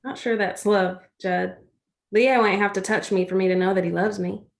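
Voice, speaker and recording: A woman's voice: medium pitch, fairly even intonation, moderate pace, creaky, lightly acted. A woman playing a caring country girl in a stage play, folksy and direct with her best friend. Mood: teasing, frank.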